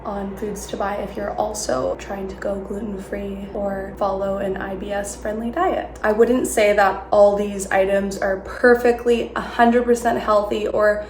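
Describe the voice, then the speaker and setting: A woman's voice: mid-range voice, little pitch movement, a little slow, hardly any pauses, a little mellow. A congested young woman chats to her viewers at home, friendly and easygoing. Her voice bounces around a roomy, echoey space, with a faint hiss underneath. She sounds like she is still shaking off a cold. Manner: chatty, friendly, talking to viewers. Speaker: vlogger. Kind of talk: casual haul vlog talk.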